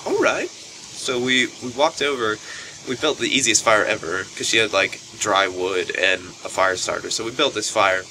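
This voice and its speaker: A young man telling a casual anecdote to camera in an animated way, acting out what people said, recorded on a poor camera microphone. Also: moderate pace. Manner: animated retelling, acts out quoted speech. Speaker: storytelling vlogger. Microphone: built-in camera microphone. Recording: noisy low-quality camera microphone.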